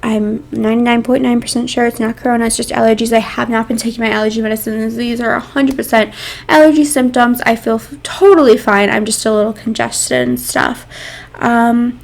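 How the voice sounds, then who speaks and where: A woman's voice: fairly high pitch, fairly even intonation, a little fast, vocal fry. A young woman with a congested, nasal voice, chatting casually over a game build with lots of ums and likes.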